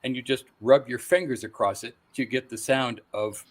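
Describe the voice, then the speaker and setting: A middle-aged man speaking as a guest voice actor, telling stories casually in a relaxed interview setting. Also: mid-range voice, normal speed.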